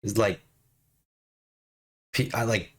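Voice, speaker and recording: Man, podcast co-host, low pitch, casual rambling talk. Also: fairly even intonation, takes it slowly. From United States. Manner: chatty, laughing, lots of restarts. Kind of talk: casual panel discussion.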